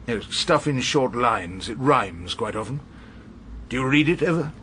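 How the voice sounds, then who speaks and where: A man playing a wry, irascible old barrister in a radio drama, with an English accent and an unhurried, ironic delivery. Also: mid-range voice, animated, bright, forceful tone, a little hiss or hum. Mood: probing, dry. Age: older. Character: irascible, wry old male barrister narrating his cases. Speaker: barrister and narrator. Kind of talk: radio drama dialogue and first-person narration.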